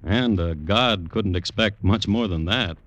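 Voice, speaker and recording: A man playing a droll crime historian who narrates in a formal, measured, deadpan 1950s radio style. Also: fairly low pitch, loud music behind the voice, close to an everyday voice. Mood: wry, deadpan. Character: dry, droll crime historian hosting his true-crime tales. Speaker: host and narrator. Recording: noisy vintage radio recording.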